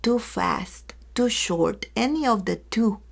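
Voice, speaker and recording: A woman's voice: on the high side, animated, slow pace, gravelly, slight background noise. A woman giving an earnest teaching talk to educators, speaking to her listeners directly and acting out everyday examples. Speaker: early childhood educator.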